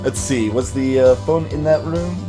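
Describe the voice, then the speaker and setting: A man's voice: mid-range voice, normal speed. A man giving casual commentary as he plays a video game, thinking aloud. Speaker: let's play host. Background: faint game music.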